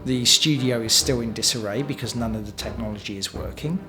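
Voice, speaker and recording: A man's voice: medium pitch, brisk. A man who works as an actor, writer and director, reminiscing in an interview. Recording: music playing behind the voice. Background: background music.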